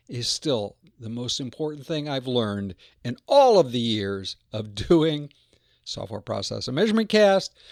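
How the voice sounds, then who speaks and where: A man's voice: medium pitch, dry recording, clean studio sound. A man hosting a podcast, giving an introduction and credits in an emphatic, phrase-by-phrase delivery. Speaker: podcast host.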